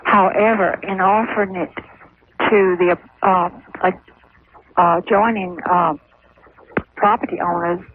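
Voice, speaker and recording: An older woman from Louisiana calling a radio show, speaking in a halting, measured way. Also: on the low side, fairly even intonation, breathy edge. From Louisiana, USA. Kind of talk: phone-in conversation. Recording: muffled phone line on radio.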